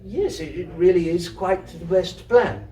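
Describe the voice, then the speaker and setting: Man as high-pitched courteous old grandmother. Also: a little slow, warm tone, roomy sound, distant mic, a little hiss or hum.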